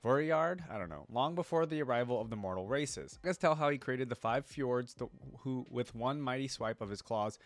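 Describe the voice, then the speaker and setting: A man hosting a reaction video in an informal way, reading aloud and thinking out loud as he comments. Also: mid-range voice, animated, normal speed, few pauses, dry recording.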